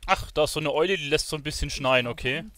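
A man's voice: fairly high pitch, quick pace, bright, forceful tone, no echo. A man giving casual let's play commentary, musing aloud in slangy language. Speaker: gaming streamer playing and commenting.

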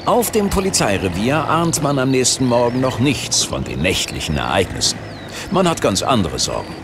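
A man's voice: medium pitch, big pitch swings, normal speed, background noise. A man performing as a wry storytelling narrator of a comedic radio crime play. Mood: wry, theatrical.

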